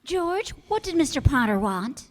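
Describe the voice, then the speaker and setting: An actress playing a devoted young wife in a radio play, gentle, sincere and a little teasing. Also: female voice, sits high, sing-song, moderate pace, faint music behind. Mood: tender, teasing.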